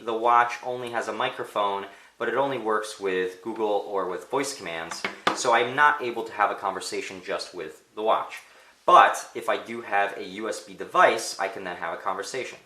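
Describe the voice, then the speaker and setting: A man's voice: fairly low pitch, bright, forceful tone, slight background noise. A man, a native Polish speaker, giving a casual unscripted product demonstration as a reviewer, thinking aloud in a conversational way, in a roomy indoor space. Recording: roomy, echoing indoor sound.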